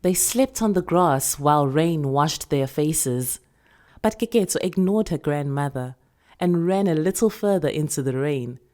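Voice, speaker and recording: A South African woman narrates a short story in a low voice. Her reading is expressive and deliberate, moving widely in pitch for dramatic effect.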